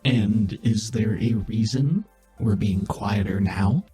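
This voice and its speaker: A man playing a calm, all-knowing, exacting overseer who asks measured, probing questions in a tabletop game. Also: on the low side, soft background music. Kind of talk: in-character roleplay in a tabletop game.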